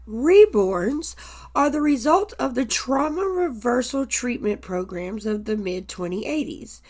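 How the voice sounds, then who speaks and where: A woman playing a dutiful, matter-of-fact army corporal with a deliberate delivery in a radio play. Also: a little high, lively intonation, a little mellow, faint music behind. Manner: deliberate, obedient.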